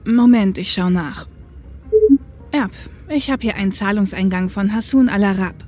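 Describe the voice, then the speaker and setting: A woman's voice: medium pitch, normal speed, a little mellow, natural voice. Woman acting tough, matter-of-fact policewoman, music behind. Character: tough, upright working-class policewoman and ex-biker, grown woman. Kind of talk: German audio drama acting.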